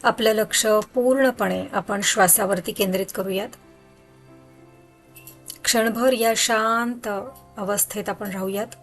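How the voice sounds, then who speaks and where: A woman leading a guided meditation in a soothing, instructional way, leaving pauses between phrases. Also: medium pitch, fairly bright tone. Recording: background music under voice. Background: music.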